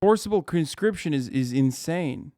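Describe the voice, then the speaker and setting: A man's voice: a little high, expressive, moderate pace, dark tone, dry recording, clean studio sound. A man streaming live, reacting to a news video with heated, profane rants and emphatic repetition.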